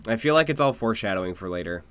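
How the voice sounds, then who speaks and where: A man's voice: on the low side, lively intonation, very fast, crowd noise. A man giving casual live game commentary as a streamer, musing aloud. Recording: music behind the voice.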